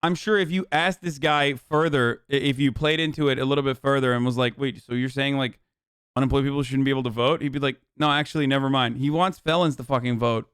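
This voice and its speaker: Guy streamer ranting, sweary and exasperated, smooth clear voice, dry close sound. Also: medium pitch, fairly even intonation, fast, a bit bright, no room sound. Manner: profane, exasperated, argumentative. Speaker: streamer host. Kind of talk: reaction commentary on a live stream.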